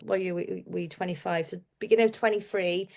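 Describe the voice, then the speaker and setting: A woman's voice: fairly low pitch, fairly even intonation, fairly quick, vocal fry, a little mellow. A woman with a Welsh accent telling her personal story as an interview guest, in a chatty, rambling way that often doubles back. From Wales. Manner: chatty, rambling, repeats herself. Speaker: podcast guest, business owner.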